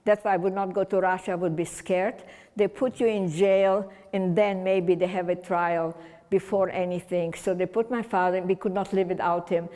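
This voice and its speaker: A woman's voice: fairly low pitch, fairly even intonation, moderate pace, dark tone. A woman in her eighties, a native German speaker from Romania, telling her Holocaust survival story to a community audience in a rambling, conversational way with restarts and wry humor. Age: 80s. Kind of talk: personal testimony talk to an audience. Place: community center hall. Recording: long hall reverb.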